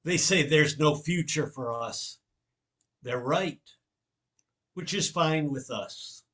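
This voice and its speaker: A man's voice: fairly high pitch, slow, fairly bright tone. A man reciting poetry line by line.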